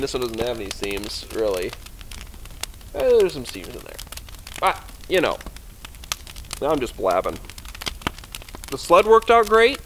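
A man's voice: mid-range voice, fairly bright tone, slight background noise, low-quality recording. A young man rambling casually to camera at a winter campsite, talking easily with fillers. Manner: chatty and easygoing, rambling with fillers. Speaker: outdoor vlogger. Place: snowy forest campsite at night, outdoors by a fire. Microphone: camera on a tripod a few meters away.

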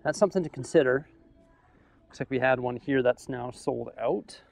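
A young man filming himself in a big store, chatting casually as he weighs up prices and thinks out loud. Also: medium pitch, normal speed, gravelly. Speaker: vlogger. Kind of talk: casual shopping vlog. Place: large store aisle, indoors. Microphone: camera held at arm's length.

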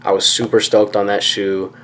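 A man's voice: on the low side, flat delivery, moderate pace, fairly bright tone. A young man talking casually and slangily to a handheld camera in a vlog. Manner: laid-back, slangy. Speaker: vlogger. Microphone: camera at arm's length. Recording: noisy camera audio.

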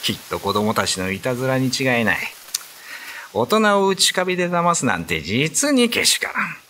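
A man voicing a grumbling middle-aged candy shopkeeper in a radio drama, muttering gruffly to himself and polite to customers. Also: mid-range voice, huge pitch swings, moderate pace, sharp tone, slight background noise, voice pushed up. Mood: indignant.

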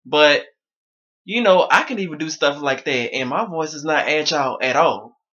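Young male vlogger, slightly high pitch, strained voice, dull webcam sound. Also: animated, normal speed, bright, forceful tone, husky. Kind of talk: casual talk to camera. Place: small bedroom indoors.

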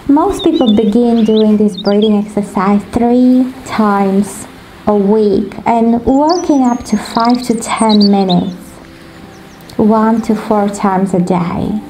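A woman leading a guided sleep meditation in a gentle, soothing, instructive manner, as a meditation guide. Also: medium pitch, slight background noise, low-quality recording. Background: ocean waves.

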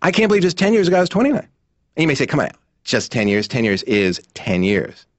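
Swooping, fast-talking neurotic patient. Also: male voice, mid-range voice, sing-song, no echo. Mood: anxious, incredulous. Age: 30s. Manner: emphatic, worried, mimics others. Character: neurotic thirty-nine-year-old therapy patient, anxious about aging. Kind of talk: stand-up monologue in an animated sitcom. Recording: dull, muffled cartoon audio.

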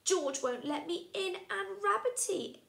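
A woman voicing a small boy with his toy rabbit, speaking high and plaintive. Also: lively intonation, unhurried, creaky, a bit bright, roomy sound, exaggerated voice.

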